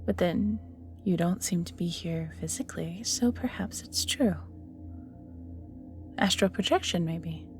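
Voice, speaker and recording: A woman's voice: lowish voice, a little slow, a little mellow. A woman playing an ancient banished evil magical being in an audio roleplay, flirty and world-weary, musing theatrically and often sinking to near-whispers, with music behind. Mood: wistful musing. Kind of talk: scripted audio roleplay acting, addressing the listener.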